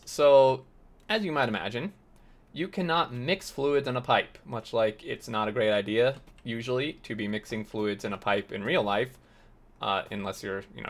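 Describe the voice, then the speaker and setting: A slightly hoarse man hosting a game tutorial, explaining step by step with lots of fillers and restarts. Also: medium pitch, fairly bright tone. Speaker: let's play host. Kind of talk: tutorial gameplay commentary.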